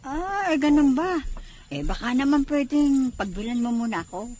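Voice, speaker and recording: An actress playing a nosy, grumbling Filipino shopkeeper in a radio drama, exclamatory and complaining. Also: female voice, sits high, big pitch swings, moderate pace, dark tone. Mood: coaxing. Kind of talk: radio drama acting in Tagalog.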